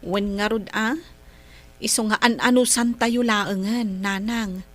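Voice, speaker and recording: An actress playing a dutiful grown Filipino daughter in a radio drama, respectful and gently coaxing. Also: female voice, medium pitch, fairly even intonation, unhurried, lightly acted. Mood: concerned.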